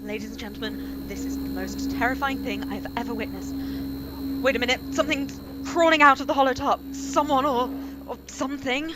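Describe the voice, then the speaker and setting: Woman acting a high-voiced, alarmed radio reporter. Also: steady tone, close to an everyday voice. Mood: awed, horrified. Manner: breathless, halting, stammering with alarm. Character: female radio news reporter, excitable eyewitness to an alien landing. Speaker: actor in a live radio play. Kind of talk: dramatic radio play eyewitness commentary. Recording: noisy live stream with loud ambience.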